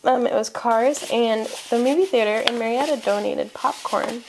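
Bubbly young woman vlogger, high bright voice, talking to a camera held at arm's length, noisy recording. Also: normal speed, creaky. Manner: chatty, giggly, rambling. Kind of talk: casual vlog chat. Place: car, then home kitchen. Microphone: handheld camera at arm's length. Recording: noisy handheld camera audio.

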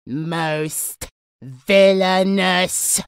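A woman's voice: on the low side, extremely slow, slight background noise. A woman playing a gleeful, sinister demonic entity in a horror audio roleplay, taunting in a singsong, menacing way. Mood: hungry glee.

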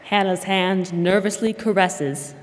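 A woman's voice: mid-range voice, steady tone, takes it slowly. A young woman reading scripted narration of stage directions for a drama. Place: stage of a school theater. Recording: reverberant hall, faint noise.

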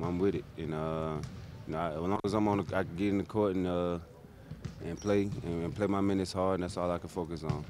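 A young man, a pro basketball player, answering reporters in a halting, laid-back way with many fillers, in an empty arena. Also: low-pitched, background noise. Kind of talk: media scrum answers. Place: empty arena seats, indoors. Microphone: handheld reporter mics held close.

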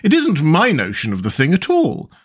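Man putting on a high, plaintive, dotty queen. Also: huge pitch swings, normal speed, breathy edge, exaggerated voice. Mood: melancholy, fretful. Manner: plaintive, wavering, melancholy. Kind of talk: storybook character voice.

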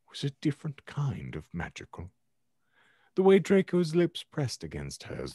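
Man, podcast host, dramatic story reading, very low pitch, lively intonation, close dry mic. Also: husky, no room sound. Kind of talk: dramatic reading of fan fiction. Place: home room, on a video call. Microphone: close podcast microphone.

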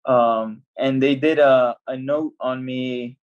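A man's voice: mid-range voice, steady tone, slow, highs cut off. A young man, a footballer from El Salvador, talking casually as a guest on a video-call interview, rambling with many restarts. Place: small home room. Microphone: laptop video call.

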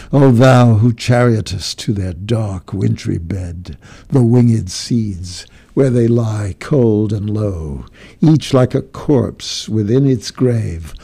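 A man giving a slow, grave, declamatory reading of a poem, swelling and then dropping to a hush. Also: fairly low pitch, gravelly, slightly rough. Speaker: poetry reader. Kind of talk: dramatic poetry recitation.

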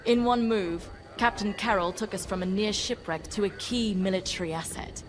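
An actress playing a confident, opinionated young military pilot in a video game, speaking assertively. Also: female voice, medium pitch, moderate pace, lightly acted. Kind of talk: video game cutscene acting.

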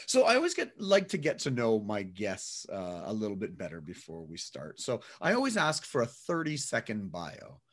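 Lively-pitched man hosting an interview. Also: normal speed, few pauses. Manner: chatty, curious questioner. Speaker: radio show host.

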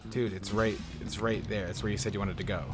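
A man giving casual, excitable let's-play commentary while he drives in a game, thinking aloud. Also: fairly low pitch, fast. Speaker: gaming YouTuber. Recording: game music behind.

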